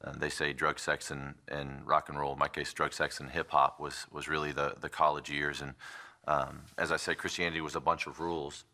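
A man telling his personal faith testimony in a halting, reflective way, often restarting his phrases. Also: rumbling low, level tone, sharp tone. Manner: halting, many restarts and fillers. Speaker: testimony speaker. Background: guitar music.

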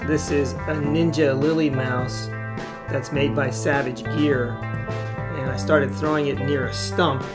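A man's voice: medium pitch, little pitch movement, unhurried, loud music behind the voice. A middle-aged man hosting a fishing video, explaining and narrating in a plain-spoken way. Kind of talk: explanatory vlog talk and narration.

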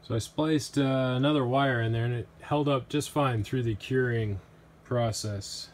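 A man's voice: mid-range voice, unhurried. Man narrating in his home workshop. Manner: plain, explanatory, with many ums and uhs. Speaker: metalworking vlogger. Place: cluttered basement workshop.

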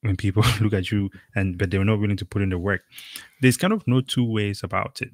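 Middle-aged male host, deep-ish, dry close sound, loose and emphatic with fillers. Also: a little fast, no room sound. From Cameroon. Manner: rambling, emphatic, many fillers. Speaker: podcast host. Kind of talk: casual conversational interview. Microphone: video call.

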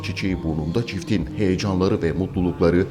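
A man's voice: low voice, fairly even intonation, a little fast. A man reading scripted narration as a news reporter. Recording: music under the voice. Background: music.

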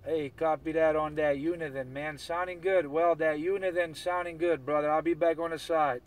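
A man's voice: a little high, steady tone, normal speed, heavy background noise. A man talking casually in CB radio slang, repeating catchphrases as he operates and records. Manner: repetitive, chant-like catchphrases.

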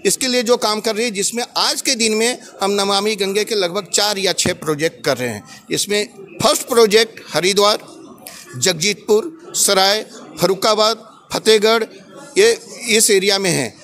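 A man's voice: high-pitched, normal speed, a bit bright. A middle-aged man with an Indian English accent, speaking into a handheld microphone at a booth in a big exhibition hall, explaining his company point by point in long lists. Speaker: company regional head presenting at a trade booth. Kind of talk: sales presentation to a visitor.

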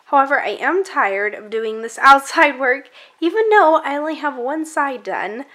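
A woman's voice: high voice, pushed and bright. A young woman casually narrating a craft project on her home channel, chatty and upbeat with light laughs.

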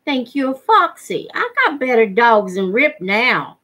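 A big backwoods man from a storybook haggles bluntly and suspiciously, voiced by a woman reader. The delivery is insistent, at a slow pace. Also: a little high, sing-song, bright, forceful tone, heavily acted. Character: huge hairy backwoods man, pushy, suspicious trader.

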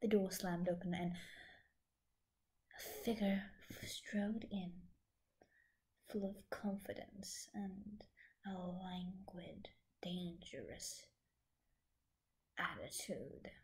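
A woman with a soft, breathy voice reading scripted story narration. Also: fairly low pitch, very slow, long pauses, low-quality recording.

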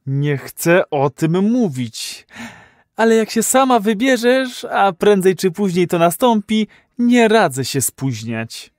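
A mature, controlling husband in a futuristic radio drama. He sounds dour and resigned, quick to scold, and is recorded close and dry. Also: high voice, big pitch swings, no room sound, full character voice. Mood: weary, sullen. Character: weary, sullen, controlling middle-aged husband. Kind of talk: dystopian radio play acting.

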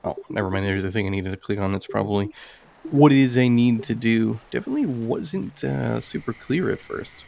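A man's voice: medium pitch, a little fast, gravelly, darkish tone, slight background noise. A man giving casual, tentative let's-play commentary, thinking aloud and reading on-screen text as he plays. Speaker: gaming video host. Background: game music.

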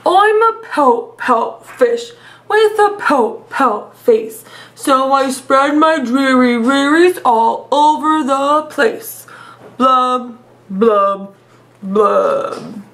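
A woman voicing a gloomy, mopey male fish from a picture book, with slow, drawn-out, mournful delivery. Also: sits high, expressive, a bit bright, full character voice. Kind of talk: children's picture-book character voice.

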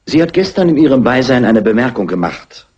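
Stern police inspector, husky, lively intonation, dry official politeness, dubbed old crime film. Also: male voice, medium pitch, fairly quick. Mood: stern, probing. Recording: muffled old film soundtrack.

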